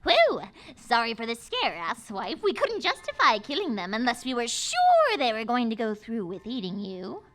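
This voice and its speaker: A woman's voice: fairly high pitch, wildly swooping pitch, normal speed, hardly any pauses, heavy background noise. A woman playing a scheming, crude female witch in a live comedy radio play, with a mocking, theatrical drawl. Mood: sarcastic.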